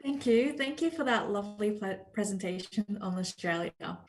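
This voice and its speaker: A woman acts as emcee and introduces each presenter in a polite, measured way. Her voice sits at an ordinary middle pitch. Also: animated. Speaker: forum emcee. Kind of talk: formal introductions.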